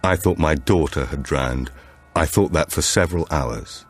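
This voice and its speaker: A man's voice: very deep voice, normal speed, soft background music. A man reading as a grieving, bitter widowed father who is terse and guarded with the police.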